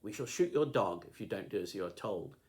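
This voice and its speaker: A man's voice: lowish voice, animated, brisk, a little mellow, close to an everyday voice. A man reading a storybook, voicing a cold, threatening adult male kidnapper. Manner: cold, commanding.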